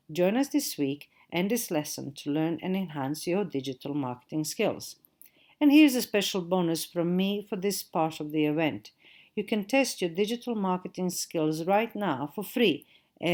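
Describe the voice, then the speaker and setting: A woman's voice: fairly low pitch, a little mellow. Croatian-accented woman giving a lecture. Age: middle-aged. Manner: measured, spelling out web addresses. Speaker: digital marketing trainer. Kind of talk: recorded lecture. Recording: audio-only recording.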